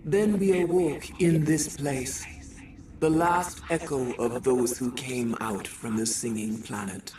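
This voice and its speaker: A woman playing an ancient, sorrowful insectoid alien queen who speaks haltingly and poetically in a video game cutscene. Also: very deep voice, steady tone, a little mellow, far from the mic, a little hiss or hum. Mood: mournful, solemn. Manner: slow, halting, poetic and solemn.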